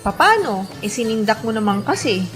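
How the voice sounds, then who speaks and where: High-voiced expressive young Filipina, music behind. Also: female voice, normal speed. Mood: playful, teasing. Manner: expressive, sentimental or playfully teasing. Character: spirited, teasing young female college best friend, looking back. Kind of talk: Filipino radio drama acting and narration. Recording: prominent music bed under voice.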